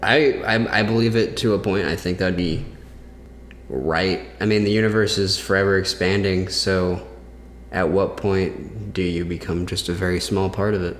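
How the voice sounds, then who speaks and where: A man's voice: deep voice, fairly even intonation, moderate pace, a little hiss or hum. A man co-hosting a podcast in casual, informal, agreeable chat, with reverb on the recording. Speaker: podcast co-host. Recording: echoey reverb, faint music.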